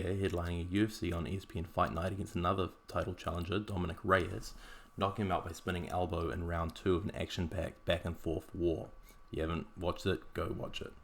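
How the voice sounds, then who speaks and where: Kiwi guy hosting a podcast, low voice, rattles through his script, dry jokes. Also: a bit bright. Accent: New Zealand. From New Zealand. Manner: dry, wry asides. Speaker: podcast host.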